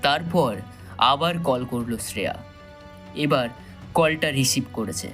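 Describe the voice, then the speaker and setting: A man narrating a thriller audio story in a dramatic, suspenseful style with measured pauses. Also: medium pitch, animated, fairly bright tone, faint music behind.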